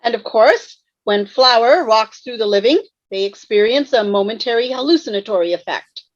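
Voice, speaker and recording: A woman's voice: a little high, expressive, moderate pace, lightly acted. A woman playing a pompous, formal male ghost commander in an online table read of a sitcom script. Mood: formal, affronted. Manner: formal, pompous, archaic phrasing. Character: pompous male ghost, old-fashioned military commander rallying troops. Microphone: video call. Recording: dull, roomy video-call sound.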